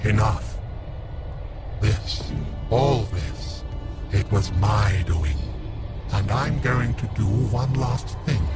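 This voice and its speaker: A man plays a cold, vengeful undead villain of audio drama. He is deliberate and ominous, in a lowish, slow voice. Heavy music runs under every word.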